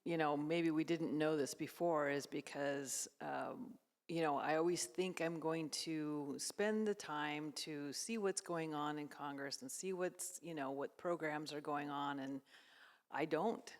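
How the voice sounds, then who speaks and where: Creaky-voiced woman in a reverberant hall. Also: deep voice, steady tone. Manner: tentative, halting. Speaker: class participant. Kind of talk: casual group discussion. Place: echoing room at a church.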